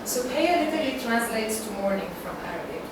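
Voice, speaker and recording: A young woman from Syria giving a measured, reflective research presentation, read aloud to an audience. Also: fairly high pitch, lively intonation. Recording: echoey hall, far from the mic, noisy.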